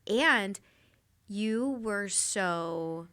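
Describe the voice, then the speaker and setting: A woman with a bright voice hosting a casual interview. She is chatty and friendly and laughs often as she reminisces with her guest. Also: mid-range voice, extremely slow, smooth. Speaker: podcast host.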